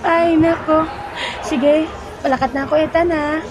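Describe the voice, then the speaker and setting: A woman's voice: sits high, fairly even intonation, measured pace. A woman playing a chatty, giggly young woman who worries about her boyfriend, in an amateur audio drama. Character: cheerful young woman, lovesick girlfriend.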